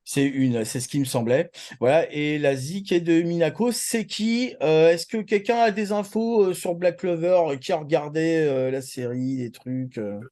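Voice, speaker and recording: Mellow man in his forties. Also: on the high side, moderate pace, few pauses. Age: 40s. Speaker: talk show co-host presenting anime news. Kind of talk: casual panel chat.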